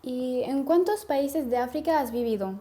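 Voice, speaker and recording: A woman's voice: sits high, moderate pace, mellow. A woman interviewer asking short, prompting questions.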